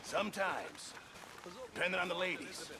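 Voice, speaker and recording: A man's voice: high voice, normal speed, background noise. An actor playing a bossy, menacing male ex-sailor cooking at an outlaw camp in a video game, speaking curtly. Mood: dry. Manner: curt, bossy.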